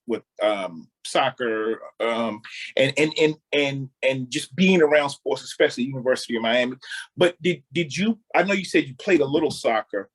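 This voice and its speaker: A man co-hosting a sports podcast who asks questions haltingly, with many restarts. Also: medium pitch. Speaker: podcast co-host. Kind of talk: casual podcast interview.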